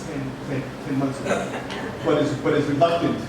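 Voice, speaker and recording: A man, a physician, asking a panel a question, halting and repeating his words as he goes. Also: medium pitch, fairly even intonation. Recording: echoey hall from far off, loud noise.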